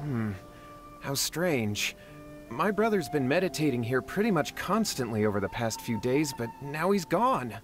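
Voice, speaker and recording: A flustered young man speaks anxiously and hurriedly at a middling pitch. Game music sits clearly behind him. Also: big pitch swings, unhurried. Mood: worried. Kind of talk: video game voice acting.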